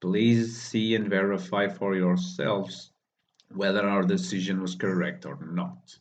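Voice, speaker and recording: A man voicing a solemn ancient male warrior mentor, reading in a grave, measured, subdued way. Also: deep voice, fairly even intonation, pitched below the performer's own voice.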